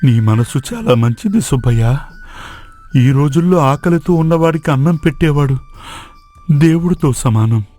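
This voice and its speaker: A man's voice: a little high, expressive, moderate pace, mellow, lightly acted. A man playing a frail, noble old man in a moral story, speaking earnestly and solemnly. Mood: grateful, amazed. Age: older. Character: frail, starving old man lost in forest, grateful and noble. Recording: voice over background music.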